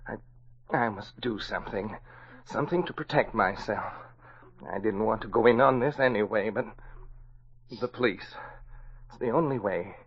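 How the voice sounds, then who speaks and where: A man's voice: fairly low pitch, normal speed, husky. An actor playing a nervous, guilty councilman in a 1940s radio melodrama, hesitant and fretting. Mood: fearful. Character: nervous, guilty city councilman, fearful and wavering. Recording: muffled old radio recording.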